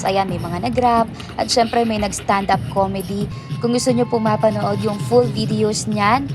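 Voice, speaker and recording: A Filipino woman gives a casual vlog voice-over. Her voice sits at a medium pitch and she talks in a chatty, effusive way. Music plays clearly underneath her. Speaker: vlogger narrating an event recap. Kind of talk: casual vlog voice-over mixing Tagalog and English.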